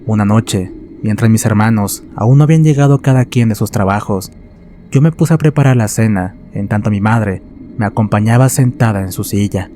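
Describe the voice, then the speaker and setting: A man narrating a scripted horror story in a solemn, measured, dramatic way. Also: lowish voice, mellow, slight background noise.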